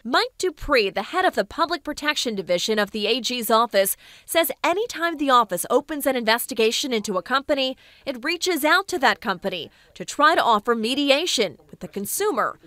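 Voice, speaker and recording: A woman in her thirties reads an investigative news script with a measured, clear delivery. Her voice sits a little high and sounds bright and crisp. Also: animated, dry recording. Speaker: investigative reporter.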